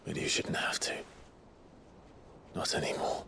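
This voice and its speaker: An actor playing a brooding, determined, self-sacrificing warrior hero in a video game, speaking with grave, earnest restraint. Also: male voice, unhurried, pushed and bright, in a whisper. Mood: tender, subdued. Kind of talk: dramatic video game cutscene acting.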